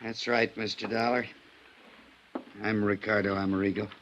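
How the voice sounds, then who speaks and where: An actor playing a weary, remorseful alcoholic has-been violinist in a halting, impassioned 1950s radio drama confession. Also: male voice, fairly low pitch, little pitch movement, fairly bright tone, dull audio, a little hiss or hum, close to an everyday voice. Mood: bitter confession. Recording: vintage radio recording with music.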